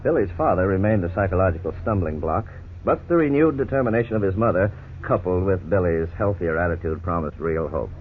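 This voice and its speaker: A middle-aged man playing a lawyer who narrates and explains the case in a measured, formal way, in 1950s radio drama style. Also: deep voice, natural voice. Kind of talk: scripted narration. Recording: scratchy 1950s radio broadcast, tinny, faint hiss.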